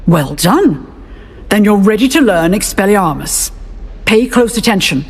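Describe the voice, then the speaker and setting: A woman's voice: mid-range voice, lively intonation, unhurried, breathy edge. A woman playing an assured professor of defensive magic in a video game, instructive and authoritative. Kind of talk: video game character acting. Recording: long hall reverb.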